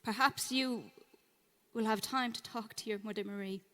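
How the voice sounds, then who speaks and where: A woman's voice: fairly high pitch, steady tone, normal speed, sharp tone, in a large hall. A woman acting in a live horror audio drama, playing someone reading an eerie message onstage in a cellar venue. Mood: eerie, menacing. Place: cellar performance venue before an audience.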